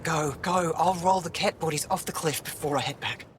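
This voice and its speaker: An actor playing a plucky alien resistance scout in a video game, earnest and rueful in manner. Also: male voice, fairly high pitch, moderate pace, close to an everyday voice. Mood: defiant, determined. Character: plucky, self-critical young male alien resistance scout. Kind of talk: scripted video game acting. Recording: loud ambient noise behind dialogue.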